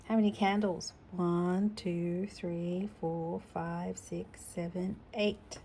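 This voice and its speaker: A woman's voice: lowish voice, level tone, takes it slowly, warm tone, a little hiss or hum. A woman reading a picture book aloud to a young child, expressively, with chatty asides. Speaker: storytime reader.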